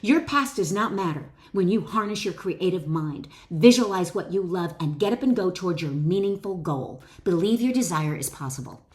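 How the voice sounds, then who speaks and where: A woman's voice: lowish voice, lively intonation, moderate pace, few pauses, darkish tone. A woman author and wellness educator reading her own self-help book expressively, with motivational emphasis, stressed key phrases and informal asides.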